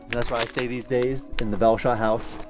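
A man in his thirties casually explaining the history of an old mining town outdoors, relaxed and pointing things out as he talks. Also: mid-range voice, fairly quick, music in the background. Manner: relaxed, explanatory, points around while talking. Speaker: caretaker of a ghost town. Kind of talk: casual on-camera tour. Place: outdoors in a snowy mountain town.

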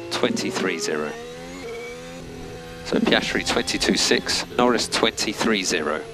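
A man working as a race engineer, giving clipped, matter-of-fact instructions and timing figures over team radio. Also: on the low side. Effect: team radio transmission. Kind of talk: terse race radio instructions and data readouts. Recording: noisy motor racing radio, vehicle noise.

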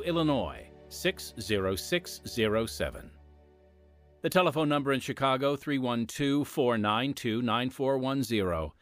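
Lively male radio announcer over music. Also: mid-range voice. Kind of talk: scripted narration with evangelistic appeal.

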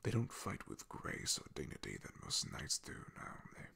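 A man playing a solemn, haunted, devoted knight in an ASMR fantasy roleplay, speaking haltingly in a husky, gravelly half-whisper. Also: very deep voice, huge pitch swings, heavily acted. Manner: hesitant, halting, confiding in hushed tones. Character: loyal male knight, solemn, haunted, devoted to his princess.

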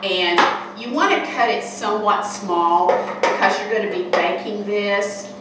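An older woman in her sixties talks through a recipe in a homespun, chatty way as she cooks. Her voice comes from some way off the microphone and rings around a big, hard kitchen hall. A steady hiss sits underneath. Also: mid-range voice, measured pace. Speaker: cooking show host. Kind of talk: casual cooking demonstration. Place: large kitchen hall indoors. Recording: echoey room from far off, noisy.

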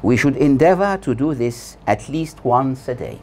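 An older man in his sixties preaches from a prepared text in a solemn manner. His pitch moves widely as he sets out each short phrase deliberately, leaving pauses between them. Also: animated, darkish tone, slight background noise. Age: 60s. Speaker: preacher delivering a Friday sermon. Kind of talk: scripted sermon read from notes. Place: small plain room indoors. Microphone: lapel mic.

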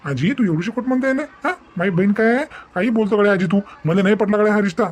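A woman acts out an indignant cartoon brother defending a sister. The speech is fast and confrontational, full of scolding. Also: medium pitch, darkish tone, slight background noise, pitched below the performer's own voice, natural voice. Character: protective, angry adult brother defending his sister. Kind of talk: Marathi animated family drama.

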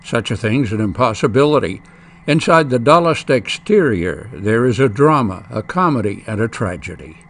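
A man reading a scripted promotional voice-over in a measured, inviting way. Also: mid-range voice, expressive, a bit bright. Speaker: announcer.

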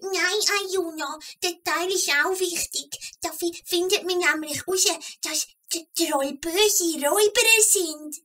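A woman's voice: very high voice, slow, few pauses, pushed and bright, full character voice. A woman voicing a tiny, eager flea in a children's audio play, earnest and childlike.

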